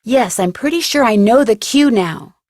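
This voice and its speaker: A woman's voice: fairly high pitch, animated, normal speed, darkish tone, dry recording, studio quality. A woman voicing a polite, plucky young amateur detective in a video game, matter-of-fact and well-mannered. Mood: earnest, careful. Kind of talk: video game character dialogue.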